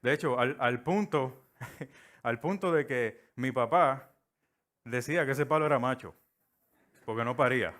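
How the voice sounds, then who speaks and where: A man's voice: mid-range voice, measured pace, pauses often, fairly bright tone. Puerto Rican man preaching a sermon. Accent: Puerto Rican Spanish. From Puerto Rico. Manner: storytelling, acts out quoted voices.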